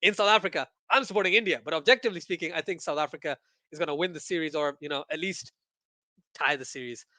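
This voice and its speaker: High-pitched, bright-voiced male cricket streamer. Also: quick pace, dry recording. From Pakistan. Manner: excitable, repeats phrases for emphasis. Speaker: livestream host and cricket commentator. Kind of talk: casual watchalong chat, reading viewer comments aloud.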